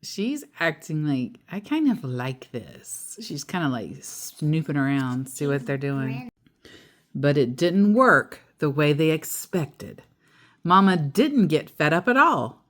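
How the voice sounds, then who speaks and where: A woman reading a storybook aloud to a child, expressive and chatty, with casual asides. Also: low voice, slow.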